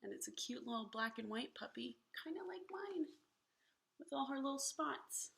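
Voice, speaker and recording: A woman reading a children's picture book aloud expressively, animated and slipping in hushed asides to the listeners. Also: sits high, normal speed, vocal fry, breathy edge. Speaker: storybook reader.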